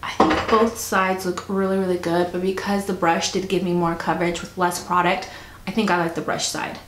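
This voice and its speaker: A woman with a cold talking casually through a makeup review, chatty and soft-spoken, sometimes close to a whisper. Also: on the low side, flat delivery, normal speed. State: stuffy, fighting a cold. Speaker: beauty vlogger. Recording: echoey room, faint noise.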